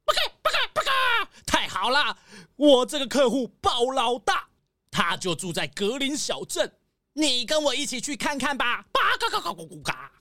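A woman playing an excitable male locksmith with a quacking cackle in a children's audio drama. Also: high-pitched, moderate pace, no room sound, clean studio sound, full character voice. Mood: insistent. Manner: exclaims, repeats himself, punctuates talk with quacking cackles.

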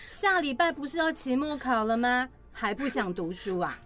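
An exasperated mother voiced by a woman in a radio play. She nags and scolds in a high, lively voice that rises and falls sharply. Light music plays behind. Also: normal speed. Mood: scolding. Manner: scolding, nagging. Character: nagging, exasperated mother of a schoolgirl. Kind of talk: audio drama acting.

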